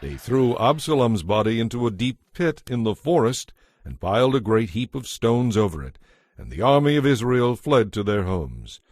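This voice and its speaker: A man narrating a dramatized audiobook in a measured, solemn storytelling manner. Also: mid-range voice, big pitch swings, darkish tone, no room sound, clean studio sound. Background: faint music.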